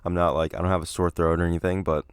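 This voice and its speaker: A young man with a deep, slightly raspy voice hosts a solo podcast in a loose, meandering way. He hedges often and leans on fillers as he thinks aloud. The sound is dry and close, with no room echo. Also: little pitch movement, racing. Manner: rambling, hedging, many fillers. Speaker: podcast host. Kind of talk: solo casual podcast monologue.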